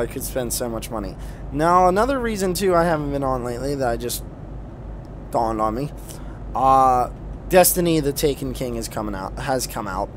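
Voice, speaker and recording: A man's voice: mid-range voice, slight background noise. A man giving casual gameplay commentary as a video host, rambling and sweary with an enthusiastic manner and a slight speech impediment. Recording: music playing behind the voice.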